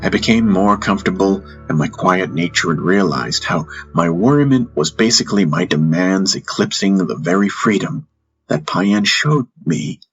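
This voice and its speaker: A man's voice: sits low, a bit bright, breathy edge, highs cut off. A man narrating a first-person fantasy audiobook in a scripted, storytelling style. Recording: music under the voice. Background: background music.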